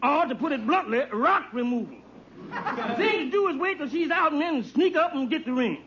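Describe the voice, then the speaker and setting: Shrill, pompous radio fixer. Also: male voice, expressive, normal speed. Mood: self-important. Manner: blustery, florid, repeating himself. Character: pompous, shifty male fixer full of big words. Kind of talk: 1940s-50s radio comedy acting. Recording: muffled old radio recording, faint noise.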